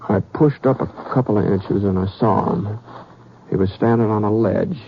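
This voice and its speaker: A man's voice: on the low side, normal speed, close to an everyday voice. An American actor playing a terse, plain-spoken frontier lawman who tells his own story in a 1950s western radio drama. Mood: measured narrating. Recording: muffled old radio recording with background hiss.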